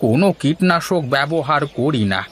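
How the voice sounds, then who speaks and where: A man's voice: fairly high pitch, expressive, moderate pace, a bit bright. A man voicing an earnest village vegetable seller in a cartoon, hawking his wares. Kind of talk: cartoon voice acting. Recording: music behind the voice.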